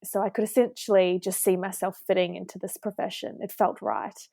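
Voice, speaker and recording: A woman's voice: medium pitch, level tone, fairly quick, vocal fry, warm tone, no room sound. Young New Zealand woman telling her story. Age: 20s. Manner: friendly storytelling with filler words. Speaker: pharmacist guest. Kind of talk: podcast interview.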